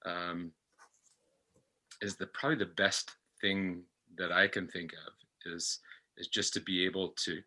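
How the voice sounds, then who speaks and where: A man reflecting as a guest in a discussion, halting and searching for his words, often repeating phrases. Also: deep voice, level tone, sharp tone, poor audio. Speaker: podcast guest.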